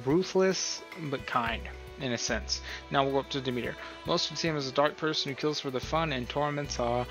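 A man hosts an informal how-to in a bright, forceful voice at a medium pitch. He rambles and thinks aloud as he explains. Music plays faintly behind him. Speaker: video tutorial host. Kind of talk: casual tutorial commentary, explaining a role-play character profile.

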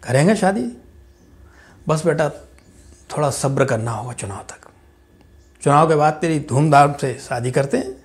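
Guy doing a middle-aged politician dad, slightly high voice, hearty then quiet and cold, dramatic monologue. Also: expressive, a little slow, long pauses. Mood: soothing. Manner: booming and jovial with party workers, dropping to a hushed, cold undertone with family.

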